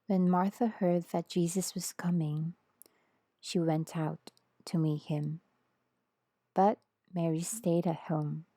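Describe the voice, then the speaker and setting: A woman narrating scripture as an audiobook, with a measured, reverent delivery. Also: lowish voice, fairly even intonation, warm tone, dry recording.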